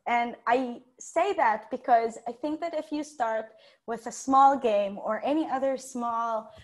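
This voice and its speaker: Chatty young woman with a higher-pitched voice. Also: measured pace. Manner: chatty, giggly, self-deprecating. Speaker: conference speaker, software developer. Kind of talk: informal tech talk presentation. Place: indoors, room with bookshelves. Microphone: video call.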